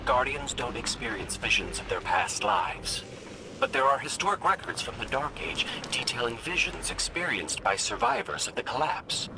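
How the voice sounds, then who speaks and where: An actor voicing a small, bookish robot companion in a video game, formal and precise, gently philosophical. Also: male voice, medium pitch, big pitch swings, normal speed, gravelly, noisy background. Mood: scholarly, curious. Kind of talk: dramatic video game dialogue.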